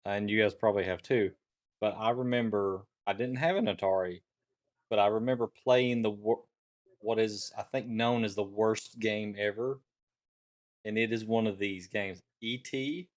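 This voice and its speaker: A middle-aged man with a somewhat low voice. He talks in a casual, conversational way, as a co-host chatting on a talk show. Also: fairly even intonation, normal speed. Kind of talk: casual chat.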